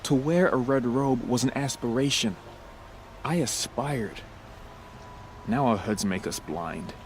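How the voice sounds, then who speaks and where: An actor playing a humble, remorseful priest in a video game, speaking in a measured, formal, earnest way. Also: male voice, medium pitch, slight background noise, close to an everyday voice. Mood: regretful. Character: solemn, grieving young priest, humble and remorseful.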